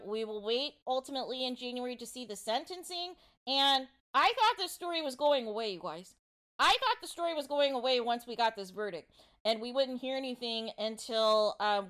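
A woman's voice: fairly high pitch, animated, normal speed, fairly bright tone, smooth. A woman hosting informal gossip commentary, speaking emphatically and repetitively, often addressing her listeners directly. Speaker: online commentary show host.

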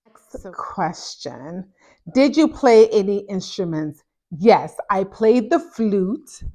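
A chatty woman in her thirties, originally from Ghana, answers viewer questions in a relaxed, animated way. She talks to camera as a therapist host, close on a lapel mic in a small indoor room. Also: medium pitch, takes it slowly. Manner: chatty, animated, gestures a lot while talking. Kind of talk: casual Q&A chat to camera. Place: small indoor home office.

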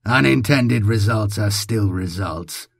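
A man's voice: lowish voice, slow pace, gravelly, slightly rough, no echo, heavily acted. A man voicing a proud, cold scientist and father, speaking formally and with dry detachment in an audiobook. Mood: dry, dismissive. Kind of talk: audiobook character voice.

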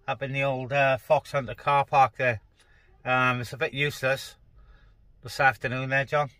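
A man's voice: medium pitch, flat delivery, moderate pace, pushed and bright, no room sound, studio quality. A man chatting casually as a CB radio operator, speaking colloquially and repeating phrases, inside a parked car.

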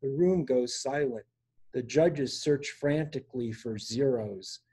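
A man in his sixties reading poetry aloud in a measured way, pausing between phrases, recorded at home on a video call. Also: medium pitch, dark tone, breathy edge. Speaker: poet reading own work. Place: indoor room at home. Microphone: device mic on a video call.

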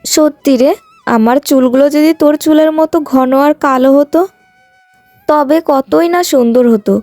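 A woman's voice: high voice, normal speed, darkish tone, dry recording, soft background music, lightly acted. A woman voicing a modest, sensible young village friend in a cartoon moral tale. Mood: admiring, wistful.

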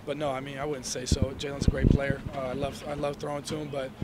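A young man, a college quarterback, answering reporters' questions after a game, measured and full of "you know"s, into handheld mics indoors. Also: mid-range voice, completely flat delivery, very noisy. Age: young adult. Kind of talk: post-game press interview. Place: indoor hallway before a sponsor backdrop. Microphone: handheld reporter mics and phones held toward him.

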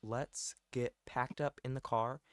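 A man's voice: medium pitch, steady tone, slow pace. A man improvising a video game roleplay as host, chatting in an exclamatory, bantering way. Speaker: host playing a parent in a roleplay. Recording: background music throughout. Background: music.

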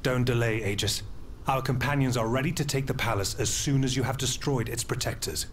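Casting: male actor for gallant game-cutscene rebel, formal and resolute, medium pitch, faint ambience behind. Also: fairly quick, slight background noise, close to an everyday voice. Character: steady, reassuring gallant revolutionary ally. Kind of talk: dramatic video game cutscene acting.

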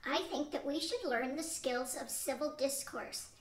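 An older woman voices Marge, an earnest and determined old wife in a homespun radio drama. She speaks very high and slowly, reading carefully. Her voice rings in an echoey room. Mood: resolute. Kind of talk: amateur radio-play acting from a script. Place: small studio with a draped backdrop. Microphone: stand mic.

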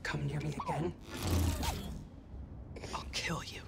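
A woman's voice: slow pace, in a whisper, close to an everyday voice. An actress playing a bitter, grieving young woman villain with a hard, cold voice. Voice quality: gravelly, hard.

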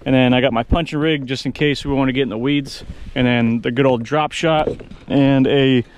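A man's voice: mid-range voice, steady tone, normal speed. An American man in his thirties chatting casually to camera as a fishing vlogger, with laid-back, slangy bro talk and some swearing. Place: outdoors on a kayak on open water. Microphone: action camera close by. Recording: outdoor wind and boat noise.

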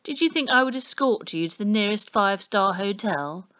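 A woman's voice: medium pitch, animated, normal speed, a bit bright, voice pushed up, close to an everyday voice. Storybook policeman performed by a woman: pompous, sneering, mock-polite menace, dull-sounding recording. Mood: scornful. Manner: mock-polite, snapping, sarcastic.

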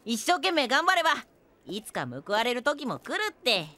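A cheeky young minstrel sidekick, full of teasing and cheer. The voice is high and bright, bouncing around in pitch in lively audio drama style. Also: female voice, normal speed, slight background noise, full character voice. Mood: cheerful, encouraging. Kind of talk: Japanese audio drama acting.

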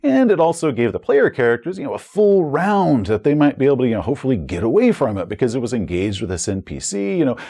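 American guy in his 40s doing game review commentary, super animated pitch, bit of husk in the voice, chatty and punchy. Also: quick pace, few pauses, husky. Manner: conversational, emphatic, storytelling. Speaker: tabletop RPG reviewer and host. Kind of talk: scripted review commentary with game-master anecdotes. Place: small indoor room with bookshelves and curtains. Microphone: lapel mic.

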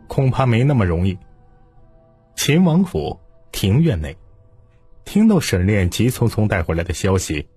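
A man's voice: on the low side, a little mellow, slightly rough. A man narrating historical fiction in a dramatic scripted audiobook style. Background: music.

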